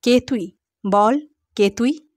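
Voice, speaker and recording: A woman voicing a boastful village exorcist man who taunts slowly and drags out his words. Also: fairly high pitch, darkish tone, no room sound, clean studio sound. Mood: taunting. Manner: taunting, drawn-out.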